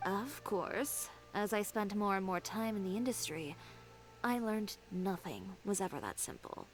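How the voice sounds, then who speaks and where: A woman's voice: medium pitch, animated, a little slow, faint music behind. An actress playing an assertive, poised young female fashion designer in a video game, telling things in a self-assured way. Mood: reflective.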